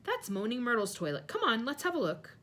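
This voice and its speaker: A woman doing a clever schoolgirl who talks briskly and earnestly, a touch higher than an everyday voice. The delivery moves lively up and down, as in a storybook reading. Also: exaggerated voice.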